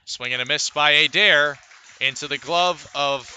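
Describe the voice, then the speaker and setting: Bright-voiced man calling baseball play-by-play. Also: a little high, measured pace, a little hiss or hum. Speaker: baseball play-by-play radio announcer. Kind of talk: live sports commentary.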